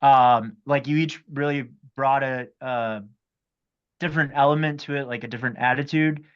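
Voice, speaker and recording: A man with a mid-pitched voice speaks through slightly dull video-call audio. He is casual and earnest, offering appreciative comments in a group discussion among actors. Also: fairly even intonation, normal speed, clean tone. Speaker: actor in a table read discussion.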